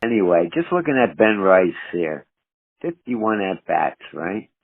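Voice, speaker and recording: A man's voice: deep voice, big pitch swings, a little slow, vocal fry, breathy edge, no room sound. A man calling in with casual fan talk. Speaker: caller. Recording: muffled phone voicemail line.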